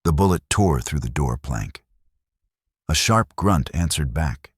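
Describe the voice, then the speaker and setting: A man's voice: rumbling low, lively intonation, unhurried, slightly rough, no echo, studio quality. A man narrating a dramatic Western tale as a scripted storyteller.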